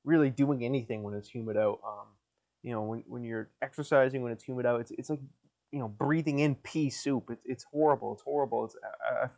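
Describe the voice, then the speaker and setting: A young man with a nasal voice hosting a solo podcast from a hot basement, rambling in a crude, deadpan way with lots of restarts, his mouth dry and a little coughy. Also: medium pitch, a little fast, gravelly. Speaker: podcast host.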